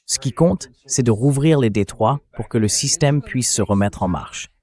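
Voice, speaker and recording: A man's voice: medium pitch, expressive, moderate pace, darkish tone. A man's synthetic AI voice dubbing an interview host in a translated political interview.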